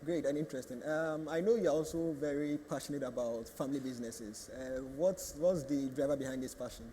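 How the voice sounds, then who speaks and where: A man moderating a panel, formally introducing speakers and asking measured questions. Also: medium pitch, mellow, a little hiss or hum, low-quality recording.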